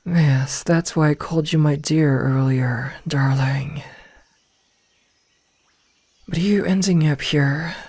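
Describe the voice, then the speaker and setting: A woman playing a possessive werewolf from a pack, smitten yet threatening, with deliberate, partly whispered delivery in an ASMR roleplay. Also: sits low, a little mellow, husky, no room sound, exaggerated voice. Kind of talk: ASMR roleplay audio drama. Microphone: close, dry microphone.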